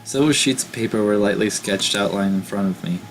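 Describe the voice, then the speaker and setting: Give me a low-voiced man reading game narration aloud. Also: a little fast, music in the background. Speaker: let's play host. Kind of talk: reading a visual novel aloud with commentary.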